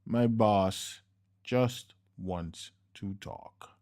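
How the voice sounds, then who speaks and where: A man voicing a slickly dressed, coolly polite and menacing henchman, speaking slow, measured and smug. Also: fairly low pitch, darkish tone, clean tone. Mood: smooth.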